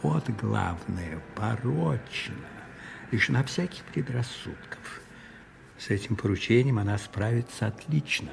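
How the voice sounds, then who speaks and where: A powerful, scheming cardinal in a radio drama. His voice is lowish and gravelly, and he speaks very slowly and deliberately, with commanding calm and savoured pauses. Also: background noise, full character voice. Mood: sly, relishing. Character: scheming powerful cardinal, cold and calculating spymaster. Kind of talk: radio play acting.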